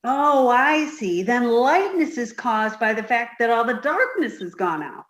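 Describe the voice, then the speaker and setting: Radio sitcom ditz , played by a woman: naive, skittish young woman, high and unhurried, in a roomy recording. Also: big pitch swings, a bit bright. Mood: naive, earnest. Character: dim, childlike, easily frightened young woman, comedy ditz. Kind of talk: 1950s radio sitcom reading.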